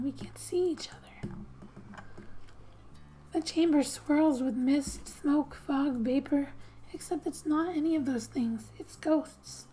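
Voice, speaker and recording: A woman narrating a game in soft-spoken ASMR style, often dropping into a breathy whisper, reading gently like a storybook with quiet asides. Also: sits high, slight background noise, poor audio. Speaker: gaming ASMR video host.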